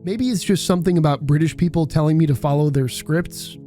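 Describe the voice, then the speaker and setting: A male video essayist with a slightly high voice narrates from a script. He sounds conversational and irreverent, swearing freely, over a soft music bed. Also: fairly quick.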